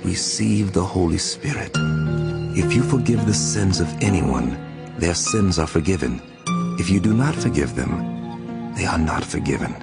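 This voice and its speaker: An actor playing a calm, gentle risen teacher in a dramatized scripture reading, speaking slowly and solemnly. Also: male voice, deep voice, creaky, heavily acted. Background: loud music score.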